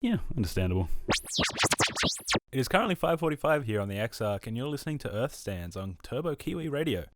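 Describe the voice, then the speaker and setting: A man's voice: mid-range voice, sing-song, fairly quick, loud music behind the voice, lightly acted. A man playing an earnest amateur radio host and Earth enthusiast, presenting casually in an audio drama.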